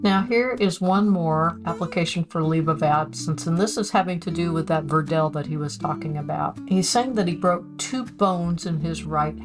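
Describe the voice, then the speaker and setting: A woman's voice: deep voice, normal speed, few pauses, music in the background. A woman in her sixties, host of a family history channel, reading old documents aloud with chatty, wry asides in a home office. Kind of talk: casual explanatory narration over documents.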